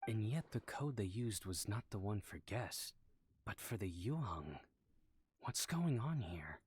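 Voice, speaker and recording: An actor playing a courteous, watchful male palace attendant in a video game, formal, polite and measured. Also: fairly low pitch, lively intonation, darkish tone, a little hiss or hum. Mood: puzzled. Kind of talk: scripted video game acting.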